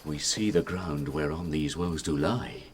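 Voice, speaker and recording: A man's voice: very deep voice, unhurried. A man playing a grave, authoritative watchman in classical Shakespearean radio drama, solemn and declamatory. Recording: slightly distant, faint background noise.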